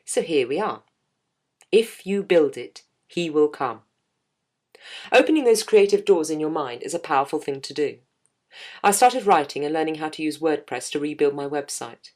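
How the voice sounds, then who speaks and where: A middle-aged woman with a notably deep, low voice reads her own writing aloud. Her narration is scripted but playful, with dry, self-mocking humour running through it. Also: animated, normal speed, a little mellow. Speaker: jazz singer and podcast host.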